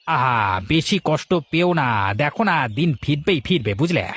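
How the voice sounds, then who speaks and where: A man voicing a calm, self-assured village food seller in a moral cartoon, patiently persuading with rhetorical questions. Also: a little high, moderate pace, slight background noise, close to an everyday voice. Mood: confident, reassuring. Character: calm, confident village food seller, reassuring his worried wife. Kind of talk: Bengali moral cartoon dialogue.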